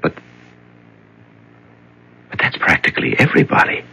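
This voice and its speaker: An actor playing an exhausted, bewildered male prisoner in a 1970s radio drama, halting and stammering, with a husky voice. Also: sharp tone, poor audio. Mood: bewildered, agitated.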